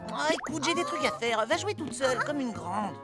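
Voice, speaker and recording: A woman voicing an excitable, careless young boy in a French cartoon dub. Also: sits high, a little fast. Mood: impatient. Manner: exclamatory, hesitant when caught out. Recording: loud music behind the voice.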